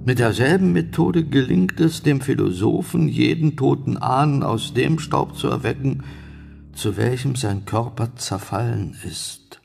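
An actor playing a solemn, ominous scholar reciting an occult text in a radio drama, with a gravelly voice. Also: male voice, mid-range voice, animated, a little slow, a little mellow. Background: prominent music.

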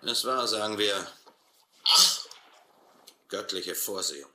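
An actor playing a brutal, cynical sea captain in a radio play, commanding and mocking. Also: male voice, on the low side, slow pace, sharp tone, full character voice. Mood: cool, mocking. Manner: commanding, mocking, coldly philosophical. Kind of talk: German radio play acting. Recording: roomy, faintly noisy radio play recording.

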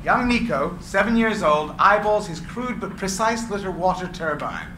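A man reading the stage directions aloud at a live script reading, evenly and clearly. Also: high-pitched, lively intonation, moderate pace, bright, forceful tone. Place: small room with seated audience. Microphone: far camera. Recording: echoey room with faint hiss.

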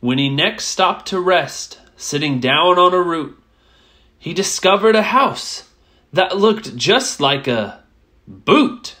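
A woman reading a rhyming children's picture book aloud as a storyteller, with expressive, measured phrasing. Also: fairly low pitch.

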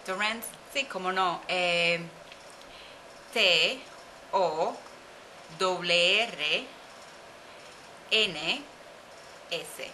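A woman in her forties from Cuba with a Cuban Spanish accent, answering interview questions slowly with many pauses, recorded on a webcam in a small office. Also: fairly low pitch, sharp tone, a little hiss or hum, poor audio. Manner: hesitant, thinks aloud with fillers. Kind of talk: slow, careful conversational answers. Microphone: webcam at desk.

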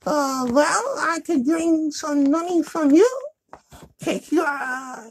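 A man's voice: very high-pitched, slow, pitched above the performer's own voice, heavily acted. A man voicing a sassy, chatty young girl puppet in a childlike, fussy manner for a puppet show.

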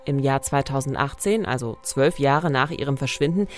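Very low-voiced woman narrating true crime. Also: moderate pace. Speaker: podcast host. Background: background music.

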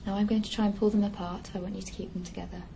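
A young woman talks a patient through a physical exam step by step. Her voice is mid-pitched, and there is clear noise behind it. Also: level tone, very fast, dark tone. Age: young adult. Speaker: clinician examining a patient. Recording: noisy office room recording.

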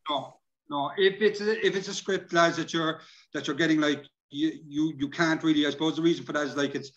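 Higher-voiced Irish man on a muffled call. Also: fairly even intonation, brisk, fairly bright tone. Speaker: workshop speaker. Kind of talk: casual explanation on a video call. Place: indoor room, video call. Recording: dull, lo-fi video call.

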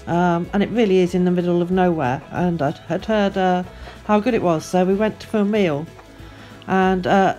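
A woman's voice: fairly low pitch, a little mellow. A woman casually telling about a remote restaurant and a still anchorage while aboard a boat, chatty with many fillers. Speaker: sailing vlogger. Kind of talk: casual travel narration.

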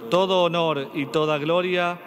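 A man in his thirties with an Argentine accent, a priest slowly reciting mass prayers at the altar. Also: a little high, steady tone. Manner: slow solemn recitation. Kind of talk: liturgical prayer. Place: large church. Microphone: stand mic at altar. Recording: long church reverb.

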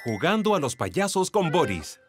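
A cheerful storyteller narrating a children's cartoon in Latin American Spanish. The delivery is scripted and very animated, with music clearly underneath. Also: male voice, fairly high pitch, moderate pace. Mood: storytelling.

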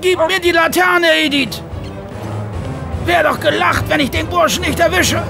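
An actor playing a stern, resolute man in charge of a stable, gravelly and commanding, in a German radio play. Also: very high voice, fairly even intonation, normal speed, over loud music, a loud crowd. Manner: commanding, urgent. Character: stern, resolute male horse trainer in charge. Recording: clearly noisy background.

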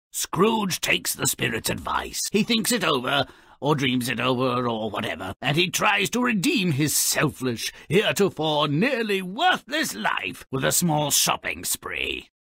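A man playing a gleefully cynical, grubby little imp who narrates video game fairy tales in a raspy, mocking, hammy way. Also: fairly high pitch, bright, forceful tone, no room sound, studio quality, exaggerated voice. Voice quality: gravelly, raspy. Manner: sardonic, mocking, hammy delivery.

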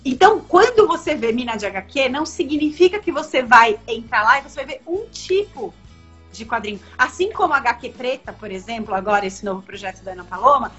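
A woman's voice: fairly high pitch, lively intonation, fairly quick, a bit bright, slightly rough, faint music behind. A woman in her thirties from Brazil, a comics editor talking in a casual, emphatic video-call interview from a room at home, with many fillers and restarts. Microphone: laptop webcam on video call. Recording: dull lo-fi video call audio.

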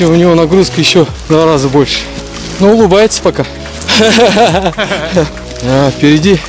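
A man in his forties narrating a casual hiking vlog as he walks through a snowy pine forest, filmed on an action camera he holds at arm's length. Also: a little high. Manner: chatty, breathless while trudging through snow. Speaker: vlogger. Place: snowy pine forest outdoors in deep frost. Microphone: action camera held at arm's length.

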